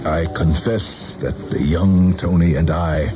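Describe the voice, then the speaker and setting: Deep-voiced, brooding old politician. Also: male voice, steady tone, takes it slowly, highs cut off. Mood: brooding, guarded. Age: 60s. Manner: pensive, formal, rhetorical. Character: sixty-year-old male politician, weary, brooding, self-doubting. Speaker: actor in a radio play. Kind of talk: 1970s radio drama acting. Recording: vintage radio broadcast, music behind.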